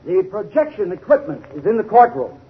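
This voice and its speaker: High-pitched declamatory courtroom prosecutor. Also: male voice, sing-song, fairly quick. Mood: formal, assured. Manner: declamatory, accusatory, formal. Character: hard, pompous male courtroom prosecutor. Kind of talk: 1930s radio acting, formal oratory. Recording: muffled 1930s radio recording.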